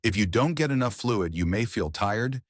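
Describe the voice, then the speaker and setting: A man reads a prepared text aloud as a model. His pitch sits a bit below average. The sound is close and dry. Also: expressive, normal speed, no room sound. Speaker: narrator of model answers. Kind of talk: scripted read-aloud passage.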